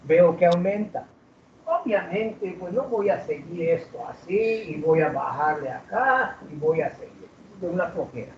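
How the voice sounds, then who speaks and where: A man teaching math to a class, asking questions and drawing out key words slowly. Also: high voice, expressive, slight background noise. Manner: asks students questions, drags out key words. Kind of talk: classroom lecture. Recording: roomy, distant, dull classroom recording.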